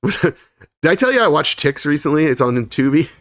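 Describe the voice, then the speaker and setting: A man's voice: on the high side, sing-song, normal speed, a bit bright, dry recording. A man co-hosting a podcast in casual chat, reading long lists of credits with frequent laughs and fillers. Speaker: podcast co-host.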